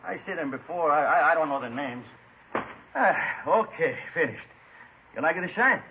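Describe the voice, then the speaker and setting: A man's voice: on the high side, lively intonation, normal speed. Chatty shoeshine man, tinny old radio. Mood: obliging. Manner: broken English, repetitive, hesitant phrasing. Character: talkative immigrant shoeshine stand man, folksy and evasive. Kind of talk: old-time radio crime drama acting. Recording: scratchy, phone-like vintage radio recording.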